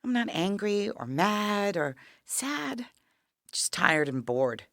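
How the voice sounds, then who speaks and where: Weary, creaky-voiced dying woman. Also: medium pitch, sing-song, a bit bright, dry recording, clean studio sound, exaggerated voice. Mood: wry, tired. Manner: frail, halting, wryly understated. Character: weary dying younger sister, wry, resigned, quietly hurt. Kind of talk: intimate audio play acting.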